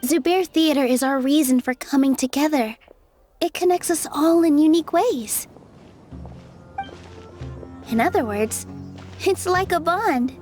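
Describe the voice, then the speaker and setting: A woman playing a gentle, earnest young female performer in a video game dub. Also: sits high, animated, unhurried, pauses often, soft background music. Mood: heartfelt.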